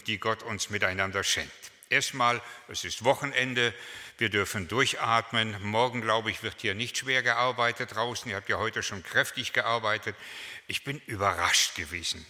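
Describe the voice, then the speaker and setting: Bright-voiced pastor in his seventies, echoing hall. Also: lowish voice. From Germany. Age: 70s. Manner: chatty, anecdotal, humorous preaching. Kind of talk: sermon. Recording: long hall reverb.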